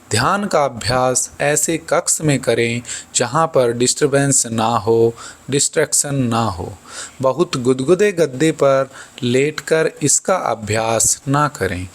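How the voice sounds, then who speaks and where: A man with a gravelly, creaky voice guides a meditation at a slow pace. He sounds like a yoga instructor, soothing and deliberate. His instructions come in short, measured phrases at an ordinary male pitch.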